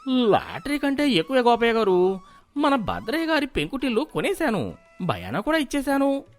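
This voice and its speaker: Man acting an excitable village merchant, swooping pitch. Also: normal speed, faint music behind, exaggerated voice. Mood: eager, delighted. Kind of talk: Telugu moral story cartoon acting.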